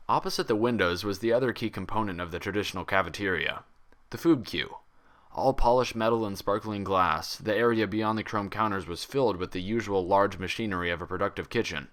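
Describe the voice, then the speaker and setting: A man's voice: on the low side, fairly bright tone. A man narrating fan fiction as a scripted, read-aloud story.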